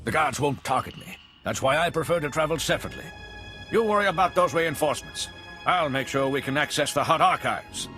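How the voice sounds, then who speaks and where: An actor playing an anxious, officious politician in a video game, with an earnest, fussy, insistent manner. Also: male voice, a little high, animated, a little fast, pushed and bright, soft background music. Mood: cooperative, brisk. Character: anxious, officious male politician, cautious appeaser.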